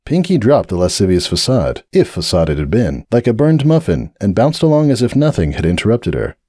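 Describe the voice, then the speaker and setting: A man with a low voice reads a dramatic story aloud with measured, expressive delivery. His pitch rises and falls freely as he narrates, and the sound is dry and close with no room around it. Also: no echo. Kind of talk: dramatic audiobook reading.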